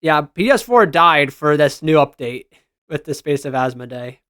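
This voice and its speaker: A man's voice: fairly high pitch, animated, normal speed, fairly bright tone, clean tone, no room sound, clean studio sound. A man giving casual, slangy reaction commentary as a gaming content creator, responding aloud to what he reads.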